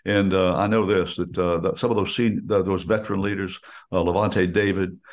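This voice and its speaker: An older man, a radio play-by-play announcer chatting in a folksy, rambling and animated way with lots of fillers. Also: low-pitched, moderate pace. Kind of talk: casual radio chat.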